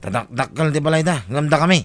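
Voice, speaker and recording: A man's voice: fairly high pitch, lively intonation, fast, fairly bright tone. A man playing an unwelcoming, brusque family man with an Ilocano accent in an audio drama. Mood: reluctant.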